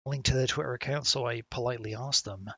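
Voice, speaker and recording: A man's voice: mid-range voice, steady tone, fast talker, sharp tone, breathy edge, no echo. A man giving casual, sweary and sardonic commentary as he plays a game and reads its text aloud. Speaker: game streamer.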